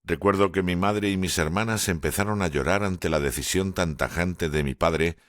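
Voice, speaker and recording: A man voicing a middle-aged naval lieutenant, calm and commanding, in measured, grave storytelling. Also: low-pitched, no room sound, studio quality, lightly acted. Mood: reminiscing. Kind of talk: audiobook character dialogue.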